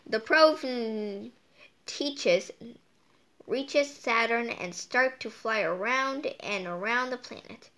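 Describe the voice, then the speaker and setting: A woman with a fairly high voice reads a picture book aloud at a very slow pace. She stumbles a little over words and breaks off into playful, exclaiming asides. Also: bright, forceful tone. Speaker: storytime host reading aloud.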